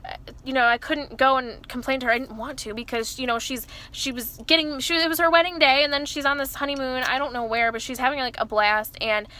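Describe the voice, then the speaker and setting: A young woman in her early twenties talks to camera from inside her car with a loud, bright and slightly high voice. She rambles, trips over herself and keeps adding "like" and "you know". The small car cabin gives her voice a close, boxed-in sound. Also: fairly even intonation, brisk. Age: early 20s. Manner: rambling, self-interrupting, many fillers like "like" and "you know". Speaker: vlogger. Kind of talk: casual confessional chat to camera.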